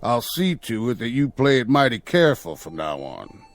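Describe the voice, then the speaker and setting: An actor playing a swaggering, commanding Old West outlaw with a folksy American drawl in a television drama. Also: male voice, mid-range voice, sing-song, moderate pace. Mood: stern, menacing. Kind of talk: television drama acting, folksy Western talk.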